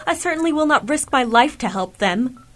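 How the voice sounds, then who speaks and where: A woman's voice: sits high, animated, moderate pace. A woman playing a haughty, scornful mutant in a fan audio drama, cold and formally disdainful.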